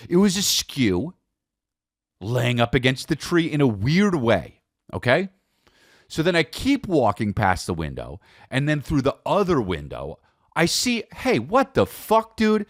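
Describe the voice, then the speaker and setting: Man, American accent, comedic podcast monologue, swooping pitch, rambling and repetitive, casual, close dry recording. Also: no room sound. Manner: animated, rambling, repeats phrases for emphasis, swears freely. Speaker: podcast host telling personal stories.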